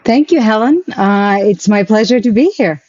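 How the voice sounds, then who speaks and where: A woman with a South African accent and a dark, mellow voice, talking as an interview guest. She repeats words as she reflects, in a dry, slightly dull recording. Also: mid-range voice, normal speed, dry recording. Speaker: interview guest, arts nonprofit executive. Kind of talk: conversational personal account.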